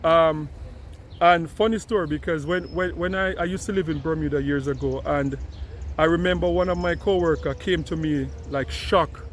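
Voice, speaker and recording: Animated man with slight background noise. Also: on the high side, normal speed. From Jamaica. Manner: animated, repeats words when starting sentences. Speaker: commentator responding to a video. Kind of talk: casual commentary.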